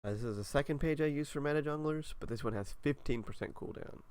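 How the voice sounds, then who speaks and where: A man's voice: fairly high pitch, fast, darkish tone, smooth. A young man with a congested, nasal voice, casually talking through his game setup with lots of fillers. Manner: laid-back, rambling, many fillers. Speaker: gaming video creator. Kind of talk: casual walkthrough commentary.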